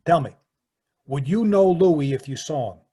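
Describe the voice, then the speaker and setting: A man playing a curt, menacing mystery caller in 1940s radio crime drama, terse, guarded and husky, over a video call. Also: a little high, expressive, normal speed, noisy background, close to an everyday voice. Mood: guarded, businesslike. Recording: live video call performance.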